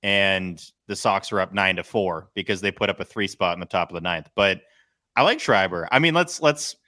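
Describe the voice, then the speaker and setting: A man's voice: deep voice, moderate pace, sharp tone. A man hosting a sports podcast, chatting casually with a co-host.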